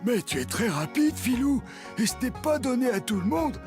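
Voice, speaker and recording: A woman voicing a huge, gentle, comforting vehicle in a children's cartoon, with a soothing manner. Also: a little high, sing-song, normal speed, soft background music. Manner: soothing, encouraging. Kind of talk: French children's cartoon dub.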